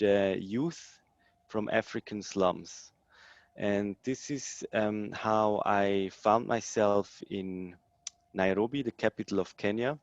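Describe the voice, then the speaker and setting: A man's voice: on the low side, no echo, lo-fi sound. Swiss man in his thirties, hesitant. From Switzerland. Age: 30s. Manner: hesitant, many ums. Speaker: social entrepreneur guest. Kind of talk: interview answers.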